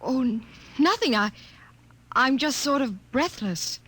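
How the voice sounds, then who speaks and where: Lively, high-voiced young woman professor. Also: slow, husky, full character voice. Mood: flustered. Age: young adult. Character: young female genetics professor, nervous yet dutiful. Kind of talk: 1950s radio drama acting.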